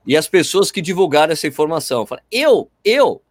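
Fast-talking animated Brazilian man. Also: fairly high pitch, no echo, clean studio sound. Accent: Brazilian Portuguese. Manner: animated, opinionated, quick rants with slang. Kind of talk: casual chat about running.

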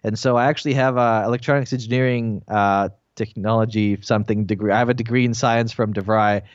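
A man in his forties, born in Bosnia with Croatian as his first language, telling his story as a guest in a relaxed, casual interview. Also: fairly low pitch, quick pace, dry recording. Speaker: guest, entrepreneur. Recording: dull lo-fi dry sound.

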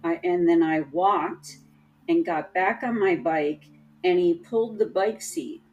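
A woman telling a personal story in casual chat, halting and restarting often, with a trained, projecting voice. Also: fairly low pitch, expressive, darkish tone, highs cut off. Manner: slow, halting, many restarts.

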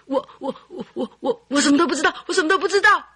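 A woman's voice: high-pitched, fast, bright, forceful tone. An actress playing a frightened teenage maid in a radio drama, stammering and trembling as she speaks. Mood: panicked. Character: panicky sixteen-year-old maid girl. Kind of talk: Mandarin radio drama acting.

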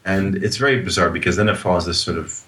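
A man's voice: deep voice, fast talker, fairly bright tone. A man co-hosting a movie podcast in casual chat, animatedly retelling scenes with relish. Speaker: podcast co-host.

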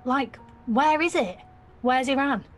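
Actress as slow-talking, mouthy nineteen-year-old girl. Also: high voice, soft background music. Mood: blunt, puzzled. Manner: slangy, blunt, petulant. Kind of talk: audio theatre acting.

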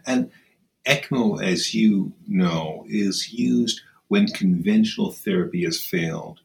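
Male doctor guest, low register, articulate and considered interview delivery. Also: vocal fry, a little mellow. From Kenya. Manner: measured, formal, articulate phrasing. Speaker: surgeon, podcast guest. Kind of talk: thoughtful interview answers.

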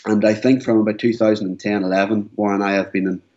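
Brief: earnest forties man, deep voice, muffled lo-fi recording. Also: flat delivery, fast talker, darkish tone. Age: 40s. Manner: earnest, repeats words as he speaks. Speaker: guest. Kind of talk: candid interview. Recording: dull lo-fi sound.

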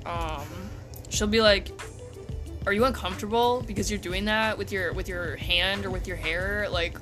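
A young woman co-hosting a podcast in casual chat, blunt and deadpan, teasing and swearing freely. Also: mid-range voice, normal speed, music in the background. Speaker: podcast co-host.

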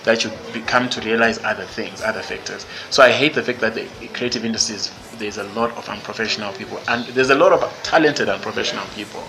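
A man's voice: fairly low pitch, fast talker, hardly any pauses, background noise. A man from Zimbabwe with a Zimbabwean English accent, a podcast guest speaking candidly and bluntly, with many fillers and restarts. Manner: blunt, outspoken. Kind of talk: candid interview conversation.

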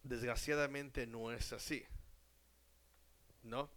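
Slow, deliberate male preacher. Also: medium pitch, steady tone. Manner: asks the audience questions and answers them himself; slow and deliberate, drops to a hush at ends of phrases. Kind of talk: sermon to a congregation.

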